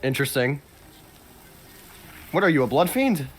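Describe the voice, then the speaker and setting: A man's voice: a little high, big pitch swings, normal speed, faint music behind. A young man giving casual, exclamatory game commentary, bantering with a friend. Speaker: gamer playing with a friend, reading story text aloud.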